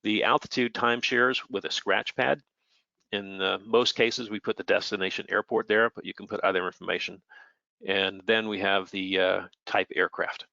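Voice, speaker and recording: A man's voice: lowish voice, steady tone, normal speed, vocal fry, sharp tone, no room sound, dull audio. A plainspoken, matter-of-fact man giving an informal technical explanation as an expert air traffic controller.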